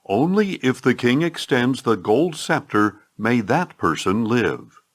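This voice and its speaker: A man's voice: medium pitch, sing-song, slow. Male narrator as a solemn, resolute queen. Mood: apprehensive.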